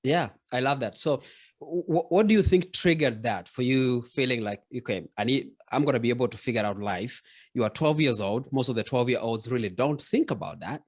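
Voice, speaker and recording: A man's voice: mid-range voice, moderate pace, husky. A man from Kenya, hosting a podcast interview and affirming his guest as he shares his own story. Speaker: podcast host.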